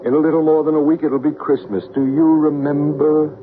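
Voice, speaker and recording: A man plays a psychic medium who patiently coaxes a spirit to speak in a radio drama. His voice is calm and even, at a middling pitch. The broadcast sounds tinny and narrow, with faint hiss. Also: fairly quick, natural voice. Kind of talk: 1970s radio drama acting.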